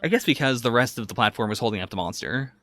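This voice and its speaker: A man's voice: mid-range voice, lively intonation, fast talker, fairly bright tone. A man giving casual, analytical anime reaction commentary as a podcast co-host, musing as he talks.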